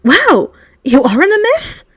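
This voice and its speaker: A woman's voice: very high voice, wildly swooping pitch, a little slow, no room sound, clean studio sound, pitched above the performer's own voice, full character voice. A woman voicing an inquisitive, studious teenage witch girl in a storybook. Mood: fascinated.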